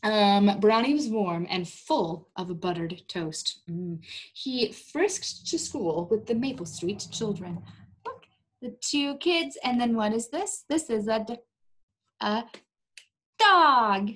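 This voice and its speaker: Husky young woman reading to kids, unhurried. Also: medium pitch, low-quality recording. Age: young adult. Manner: animated, coaxing, exaggerated delivery for young listeners. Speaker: teacher reading aloud to children. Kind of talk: storybook read-aloud with questions to young children. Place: small indoor room.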